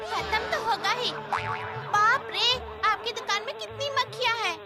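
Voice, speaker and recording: A woman voicing a bossy, sharp-tongued little girl in a cartoon who nags. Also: very high voice, unhurried, over loud music. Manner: bossy, nagging.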